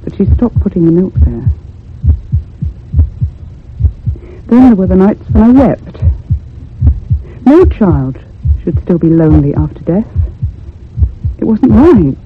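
A man's voice: sits high, animated, a little slow. A man playing a frightened narrator who recounts a haunting in grave, dramatic radio-drama style. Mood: haunted, troubled. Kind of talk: dramatic first-person radio thriller narration. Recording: muffled, noisy old radio recording with hum.

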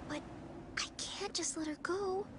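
A woman's voice: extremely high pitch, measured pace, background noise. An actress playing an anxious, youthful teenage girl in a video game cutscene. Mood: worried.

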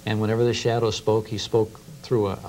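A man's voice: lowish voice, level tone, fairly quick, a little mellow, poor audio. A middle-aged American man talking in conversation as an interview guest, explaining things with enthusiasm. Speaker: guest, radio historian. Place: small TV studio. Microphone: lapel mic.

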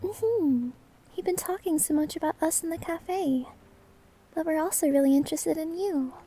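A woman playing a shy, sweet young maid new to the job, hesitant and gentle, in an anime-style ASMR roleplay. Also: normal speed. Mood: caring. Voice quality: soft, high, girlish. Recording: music behind the voice.

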